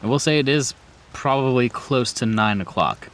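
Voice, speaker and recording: A man's voice: mid-range voice, normal speed, fairly bright tone. A man acting as game master, narrating a tabletop roleplaying game in the second person.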